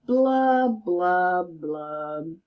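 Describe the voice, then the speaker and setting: A woman's voice: fairly low pitch, expressive, highs cut off, voice pushed down. A woman voicing a glum, pouting male fish in a storybook, with a mournful, rhyming way of talking. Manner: mournful, rhyming, drawn-out blubbing refrain. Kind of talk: storybook read-aloud character voice.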